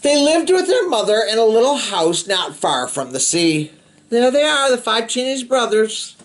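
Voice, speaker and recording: Animated man reading a storybook aloud. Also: very high-pitched, moderate pace. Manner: playful, expressive, chatty asides to young listeners. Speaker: storybook reader, host of a read-aloud show. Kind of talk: animated read-aloud of a children's picture book with casual asides.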